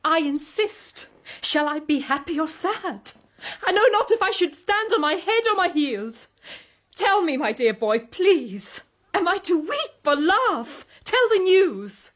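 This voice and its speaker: Very high, gushing society lady. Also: very animated, slow pace, exaggerated voice. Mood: flustered, insistent. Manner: gushing, dramatic. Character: flighty, excitable, theatrical aristocratic society mother. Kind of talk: period radio drama acting.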